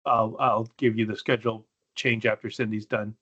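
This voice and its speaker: A man's voice: fairly low pitch, little pitch movement, moderate pace, bright, forceful tone. A man chairing a board meeting in a plain-spoken, procedural but informal way, recorded on dull meeting audio. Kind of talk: informal running of a formal meeting.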